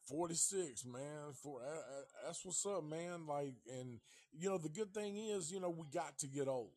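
Slow-talking laid-back man of fifty. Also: fairly high pitch, a little mellow, dry recording. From Nashville, Tennessee. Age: 50s. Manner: rambling, profane, laid-back. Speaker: livestream host. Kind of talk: casual livestream chat.

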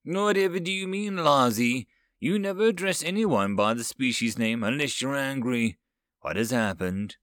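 A man voicing a calm, collected human chancellor in his thirties in a story, measured and diplomatic. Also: medium pitch, no echo, clean studio sound, close to an everyday voice. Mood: puzzled.

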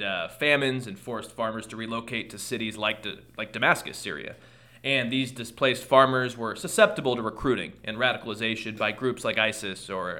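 A man's voice: medium pitch, sharp tone. A man hosting a solo podcast, commenting on world news in a conversational way, thinking aloud with restarts and asides, and losing his voice. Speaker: podcast host.

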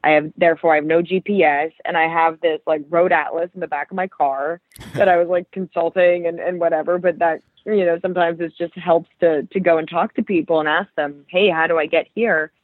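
A woman's voice: fairly low pitch, steady tone, fairly quick, dry recording. Guest author, 30s woman from Ohio, thoughtful, restarts a lot, dry tinny phone sound. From Ohio, Cleveland area. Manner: thoughtful, many restarts and fillers. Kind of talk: conversational interview.

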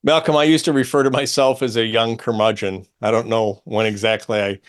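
A man's voice: medium pitch, steady tone, fairly quick, slight background noise. A middle-aged American man talking as an opinionated radio host, explaining things in an emphatic, pedantic way. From United States.

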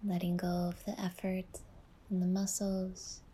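A woman leads a guided meditation in a soft, breathy near-whisper. Her delivery is very slow and soothing, with long pauses. The pitch stays flat and even, settling gently from phrase to phrase. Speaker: yoga nidra guide and podcast host.

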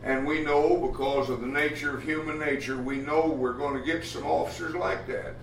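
A man preaching a sermon as pastor, plainspoken and folksy, often pausing with "uh" as he talks. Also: fairly high pitch, a bit bright, a little hiss or hum. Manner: plainspoken, folksy, halting with many uh fillers. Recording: echoey room from far off, faint ambience.

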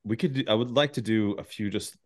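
A man's voice: fairly low pitch, brisk. A man hosting a podcast in casual, joking conversation, rambling along with goofy enthusiasm. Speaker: podcast host.

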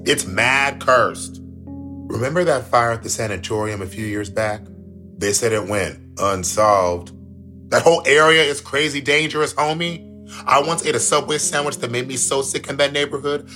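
An actor playing a jittery, paranoid young male flatmate in a radio play, excitable and slangy. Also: a little high.

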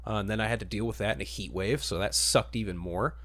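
A man's voice: on the low side, steady tone, quick pace, a bit bright. A man hosting a podcast in a casual, conversational style. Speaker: podcast host. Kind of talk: casual chat about superhero films.

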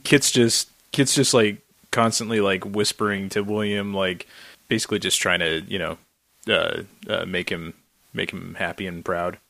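A man's voice: sits low, steady tone, moderate pace, gravelly, pushed and bright, no echo. A man playing a tabletop game, describing his character in a hesitant, repetitive way.

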